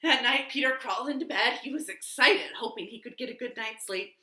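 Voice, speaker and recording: A woman telling an interactive children's story in an animated way, drawing out words, in an echoey living room. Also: fairly high pitch, a little fast.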